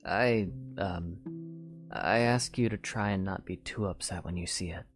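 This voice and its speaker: A man playing a quiet, low-voiced young knight. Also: measured pace, over music. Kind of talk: fan audio drama acting.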